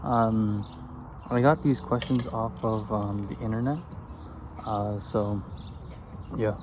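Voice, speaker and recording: A man's voice: on the low side, steady tone, slow pace. A man in his twenties from Afghanistan, chatting casually while he eats and answers get-to-know-me questions outdoors. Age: 20s. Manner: easygoing, answers questions in a loose, rambling way. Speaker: vlogger. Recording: outdoor recording with background noise and birds.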